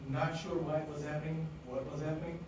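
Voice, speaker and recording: A man's voice: a little high, flat delivery, fast. A man with an Indian accent telling personal stories to an audience in a conversational, candid way, with many fillers. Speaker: speaker at a design event. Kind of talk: storytelling talk to an audience. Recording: echoey room, distant mic, ambient noise.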